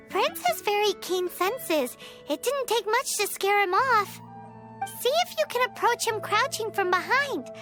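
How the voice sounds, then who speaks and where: A woman's voice: very high voice, bright, forceful tone, exaggerated voice. A woman voicing a tiny, chirpy floating fairy companion in a video game, blunt and talking about herself by name.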